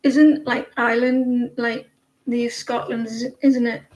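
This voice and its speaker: Woman, 40s, northeast English accent, casual tarot reading, rambling chatty delivery, slightly high voice. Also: level tone, slow pace, darkish tone, highs cut off. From northeast England. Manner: rambling, chatty, many fillers and restarts. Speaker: tarot reader.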